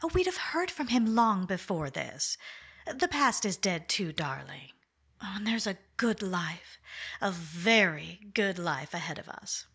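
A woman's voice: lowish voice, sing-song, unhurried, creaky, fairly bright tone, slightly rough, full character voice. A woman playing a cool, scheming wife in an old-time radio mystery, coaxing and purring endearments. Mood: silky coaxing. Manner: purring, calls him darling. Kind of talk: 1940s radio acting.

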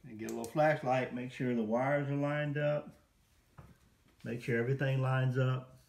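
Gravelly middle-aged man, casual how-to. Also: medium pitch, steady tone, normal speed, darkish tone. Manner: unscripted, speaking in short bursts while working. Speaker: hobbyist builder demonstrating his work. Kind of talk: casual hands-on how-to narration. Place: indoor room at home, at a worktable. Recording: noisy home recording with clicks.

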